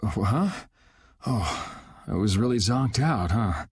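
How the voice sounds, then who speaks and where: An actor playing a self-assured young adventurer in a video game, with a dry, offhand, decisive delivery. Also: male voice, fairly low pitch, expressive, takes it slowly, husky, exaggerated voice. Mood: drowsy, offhand. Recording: music under the voice.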